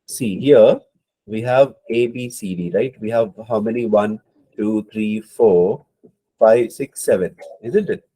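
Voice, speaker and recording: A man's voice: lowish voice, takes it slowly, warm tone, rough recording. A man with a cold teaching an online exam-prep class, hesitant, with many fillers and apologies. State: hoarse from a cold. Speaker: IELTS instructor.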